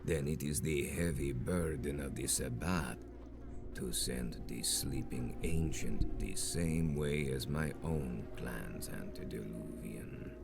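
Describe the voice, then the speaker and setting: A man's voice: very deep voice, takes it slowly, creaky, loud music behind the voice, heavily acted. An actor playing a menacing, ancient male vampire sect leader in a video game, speaking in grandiose, solemn, archaic oratory. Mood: ominous. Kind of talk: dramatic video game villain acting.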